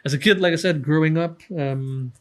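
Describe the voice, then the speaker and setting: A man in his thirties from Denmark, talking as a podcast guest about his childhood, relaxed and self-deprecating, with many ums and restarts. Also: fairly high pitch, normal speed. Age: 30s. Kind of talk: casual interview talk.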